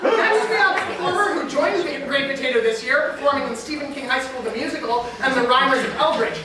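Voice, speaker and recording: High-pitched woman announcer in an echoey hall. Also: brisk, a loud crowd. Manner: announcer-like, projecting names out to the audience. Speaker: master of ceremonies introducing performers. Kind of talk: live stage introductions to an audience. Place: indoor theatre stage before a live audience. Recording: distant echoey hall recording with noisy audience. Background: audience noise and room ambience.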